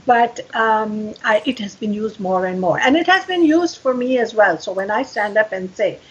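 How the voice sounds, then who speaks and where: An older woman whose first language is Urdu, speaking earnestly as a podcast guest, often pausing with fillers. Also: medium pitch, expressive, slightly rough, dull audio. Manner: earnest, many fillers and restarts. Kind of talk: podcast interview.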